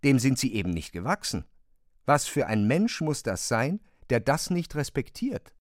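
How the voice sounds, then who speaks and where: A man's voice: medium pitch, sing-song, moderate pace, no echo. Dry, close-up male literary narrator. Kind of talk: scripted literary narration, radio drama.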